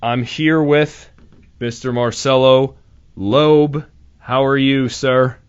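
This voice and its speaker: A young man hosts a casual radio show, rambling and nervous with plenty of restarts and self-deprecating jokes. His voice sits at an ordinary pitch, picked up dry and slightly dull on a studio mic in a small room. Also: fairly even intonation, slow pace, smooth, no room sound. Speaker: radio host. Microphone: studio microphone.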